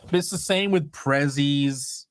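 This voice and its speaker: A man's voice: fairly high pitch, measured pace, clear, resonant tone. A man with an English accent, co-hosting a casual comics chat show, swearing freely and restarting his sentences. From England. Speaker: podcast co-host.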